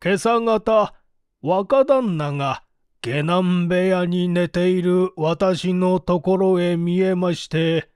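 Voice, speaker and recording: A man playing a slow, humble middle-aged manservant. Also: high voice, darkish tone, clean tone, no room sound, clean studio sound. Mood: humble, halting. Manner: slow, halting, measured testimony. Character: humble, deferential 44-year-old village manservant giving testimony. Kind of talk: audiobook reading of a vintage mystery.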